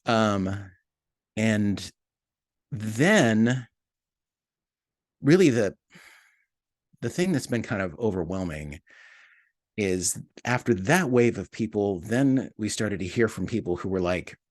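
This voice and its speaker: A man's voice: on the low side, lively intonation, slow, long pauses, no room sound, clean studio sound. A man speaking as an interview guest in a casual, reflective way, repeating and rephrasing his thoughts as he goes. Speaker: interview guest, podcast writer and producer.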